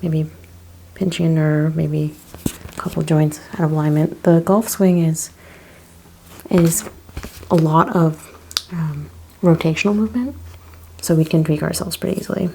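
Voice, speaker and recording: A woman speaking in a gentle, hushed, partly whispered ASMR roleplay style, asking questions as a chiropractor. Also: low-pitched, steady tone, unhurried, vocal fry, a little hiss or hum. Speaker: ASMR host playing a chiropractor. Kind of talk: soft-spoken ASMR roleplay.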